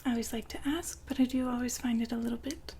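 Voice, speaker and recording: A woman playing the poised, businesslike madam of a futuristic establishment in an ASMR roleplay, whispering softly and formally to a client. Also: fairly high pitch, steady tone, slight background noise, natural voice. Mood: smooth, attentive. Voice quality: soft, breathy whisper. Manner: polite, formal, unhurried saleswoman patter.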